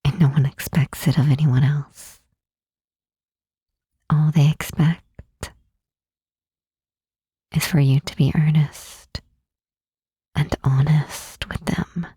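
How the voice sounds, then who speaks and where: Woman playing a hushed, husky, gentle demon queen. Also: deep voice, little pitch movement, dry recording, studio quality, heavily acted. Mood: tender reassurance. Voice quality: soft, breathy, husky. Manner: slow, tender, soothing, with deliberate pauses. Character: gentle, reassuring demon queen comforting a weary hero. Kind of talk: intimate ASMR roleplay. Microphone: close mic, dry.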